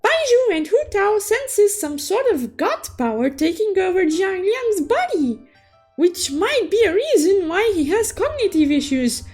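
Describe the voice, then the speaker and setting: A woman voicing a cute, excitable young unicorn who recaps a story with playful, theatrical exclamations. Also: very high voice, heavily acted. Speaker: storytelling host. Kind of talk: animated story recap narration.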